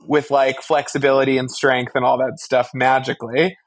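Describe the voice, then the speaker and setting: A man's voice: medium pitch, little pitch movement, normal speed, dry recording. A man co-hosting a podcast, giving wry, opinionated fan commentary in a casual, sweary way. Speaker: podcast co-host.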